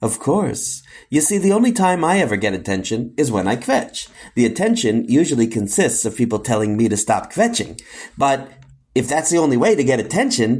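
A man's voice: fairly high pitch, a little mellow, breathy edge. A man playing a sheepish, attention-starved colonel in a children's audio comedy, self-important yet hesitant.

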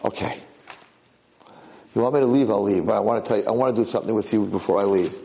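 A man's voice: fairly low pitch, fast, vocal fry, mellow. Man acting out urgent, insistent old servant. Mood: coaxing. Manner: insistent, coaxing, short declarative phrases. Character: urgent, loyal old male servant rescuing a lost prince.

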